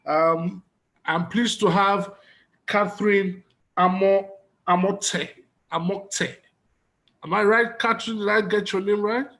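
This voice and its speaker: Higher-pitched Nigerian man hosting a panel. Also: steady tone, slow pace, pushed and bright. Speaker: conference moderator. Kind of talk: hosting a panel, introducing guests. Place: online video conference.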